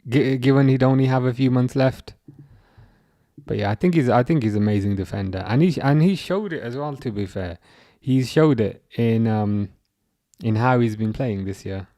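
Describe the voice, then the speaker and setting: A man's voice: mid-range voice, normal speed, mellow, no echo, studio quality. A young man from England with an English accent, hosting a casual football livestream and chatting with viewers in a rambling way, full of restarts. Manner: rambling, repeats words and restarts, reads chat aloud. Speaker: livestream host.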